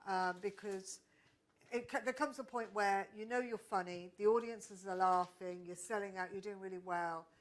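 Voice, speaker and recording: A woman's voice: mid-range voice, moderate pace. Candid woman comedian speaking onstage. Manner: candid, reflective, with frequent restarts. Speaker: stand-up comedian, panel guest. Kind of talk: live panel talk. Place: stage at a live podcast event.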